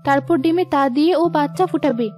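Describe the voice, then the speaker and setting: A woman's voice: sits high, quick pace, darkish tone, soft background music, lightly acted. A woman voicing a loving, worried mother of a poor village family in a moral story cartoon. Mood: practical, motherly. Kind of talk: scripted children's moral story cartoon dubbing.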